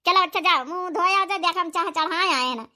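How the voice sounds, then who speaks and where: A woman's voice: extremely high pitch, fast talker, pushed and bright, heavily acted. A woman voicing a scolding village mother in a cartoon comedy, with an Awadhi accent and a nagging, repetitive way of talking. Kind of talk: cartoon comedy dialect acting.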